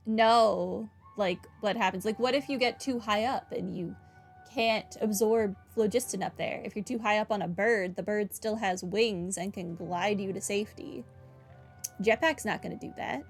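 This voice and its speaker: Casual woman game streamer, medium pitch. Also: normal speed, soft background music. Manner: opinionated, explains reasoning conversationally. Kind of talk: casual playthrough commentary and reading dialogue choices.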